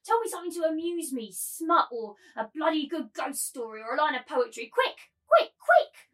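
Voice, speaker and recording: A woman's voice: sits high, sing-song, normal speed, a bit bright, far from the mic. A woman playing a cruel, gloating, domineering madam in a theatrical reading of a novel, taunting and imperious. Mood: stern, imperious. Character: cruel, gloating, domineering brothel madam turned masculine tyrant. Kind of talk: dramatic table reading of a novel.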